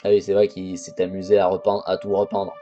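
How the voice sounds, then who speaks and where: A man's voice: deep voice, monotone, brisk, mellow, lo-fi sound, soft background music. A man hosting a let's play, chatting casually over a horror visual novel with crude jokes and frequent restarts. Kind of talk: casual game commentary.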